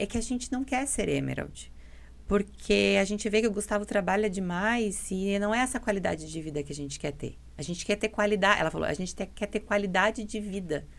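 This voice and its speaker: A woman's voice: medium pitch, fairly quick, creaky. A woman chatting on a podcast as a guest, telling stories bluntly and emphatically, often acting out dialogue and repeating herself. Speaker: podcast guest, dental mentoring business partner. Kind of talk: casual podcast conversation, animated storytelling.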